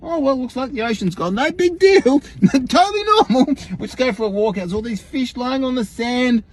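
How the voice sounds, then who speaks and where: Man imitating a husky, animated Australian online guru. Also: very high voice, moderate pace. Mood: incredulous, mocking. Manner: folksy, emphatic, rhetorical questions, frequent chuckles. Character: imitation of an earnest, rambling online conspiracy guru. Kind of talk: mock livestream monologue.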